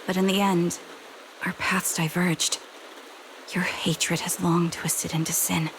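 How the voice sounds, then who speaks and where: A woman playing a stoic, quietly sorrowful soldier in a game cutscene, speaking in a measured, solemn way. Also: fairly low pitch, level tone, slight background noise, poor audio. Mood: sorrowful, resolute. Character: stoic young female soldier, sombre, steadfast, quietly sorrowful. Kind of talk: dramatic game cutscene acting. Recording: voice over clear background music. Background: music.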